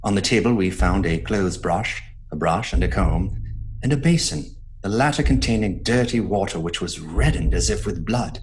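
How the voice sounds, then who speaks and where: An actor playing a grave gothic storyteller, narrating a horror audio drama in a somber, dramatic way. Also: male voice, low voice, animated, moderate pace, a little mellow, slight background noise, low-quality recording.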